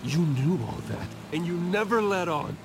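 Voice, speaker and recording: A man's voice: high-pitched, expressive, moderate pace, noisy background. An actor playing a brooding, wealthy orphaned vigilante in his thirties, speaking with terse, demanding intensity in a dramatic video game. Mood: angry, demanding.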